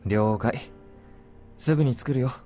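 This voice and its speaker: A man voicing a calm, wry, devoted young male protagonist in a Japanese visual novel. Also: mid-range voice, moderate pace, natural voice. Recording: music under the voice. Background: background music.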